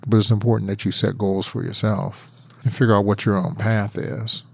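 A middle-aged man from Pittsburgh, telling his life story in a measured, thoughtful interview. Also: fairly low pitch, little pitch movement, darkish tone. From Pittsburgh, USA. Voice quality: gravelly. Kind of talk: reflective oral history interview.

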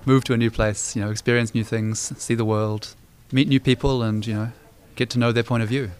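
A man's voice: medium pitch, brisk, smooth. A man in his twenties with a New Zealand accent, chatting casually about his life, with many fillers. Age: 20s. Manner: relaxed, chatty, many "you know" fillers. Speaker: interviewee. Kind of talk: personal storytelling.

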